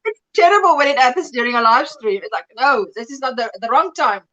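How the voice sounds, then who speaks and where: A woman hosting a casual livestream chat in an animated, exclamatory way over a video call. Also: high-pitched, fast, rough recording. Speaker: livestream host.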